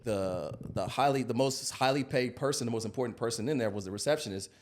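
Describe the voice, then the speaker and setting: A man's voice: mid-range voice, fast talker, a little hiss or hum. An American man in his early forties, a podcast guest telling stories in a casual, conversational style. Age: early 40s. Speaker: podcast guest, digital marketing consultant.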